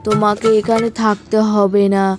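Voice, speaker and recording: Cartoon casting: a woman as a stern elderly village matriarch, sharp and disapproving, music behind. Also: mid-range voice, level tone, moderate pace, close to an everyday voice. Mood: angry, scornful. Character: stern, scolding old village mother-in-law.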